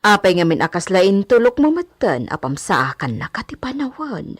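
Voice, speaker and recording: A woman's voice: medium pitch, sing-song, moderate pace, husky, no echo, studio quality. A woman playing a frank, fussy older-sister household servant in a radio drama, with a blunt, gossipy way of talking. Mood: concerned, scolding. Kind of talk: Ilocano radio drama acting.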